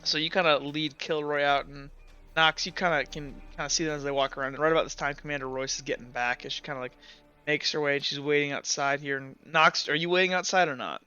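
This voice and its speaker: Man, game master, higher-pitched, forceful, casual halting narration, profane, lo-fi recording. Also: fairly even intonation, smooth, soft background music. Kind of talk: improvised tabletop game narration.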